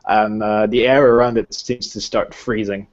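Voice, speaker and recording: A man's voice: on the low side, level tone, moderate pace, highs cut off. A man acting as game master, running a tabletop roleplaying session.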